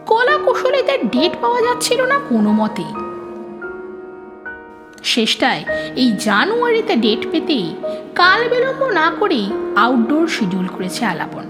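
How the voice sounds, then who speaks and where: A woman narrating a scripted horror story in a dramatic storytelling style. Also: extremely high pitch. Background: prominent background music.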